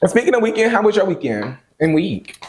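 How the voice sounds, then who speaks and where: Lively, playful male podcast host. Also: a little fast. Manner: playful, slangy, lively pitch swings. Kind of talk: casual interview chat.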